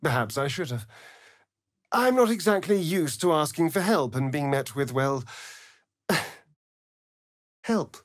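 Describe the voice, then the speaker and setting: Sly, ancient male vampire for a game: theatrical, flirtatious, slow drawl, very animated pitch, dry close recording. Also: no room sound. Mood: subdued, vulnerable. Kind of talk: video game character acting.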